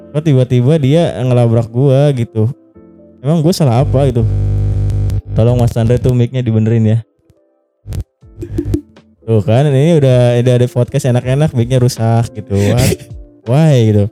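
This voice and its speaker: Very mellow young male podcast host. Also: medium pitch, moderate pace, long pauses. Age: young adult. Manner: teasing, joking, swearing freely. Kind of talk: casual slangy podcast banter. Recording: music playing behind the voice. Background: faint music.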